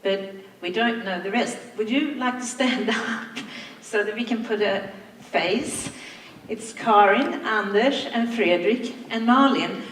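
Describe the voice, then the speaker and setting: An older woman from Sweden, a native Swedish speaker, giving a slow, reflective anniversary speech to school staff as their principal. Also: a little high, slight background noise. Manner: slow, reflective, warm thanks with soft laughs. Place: large hall, on stage. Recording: echoey hall from far off.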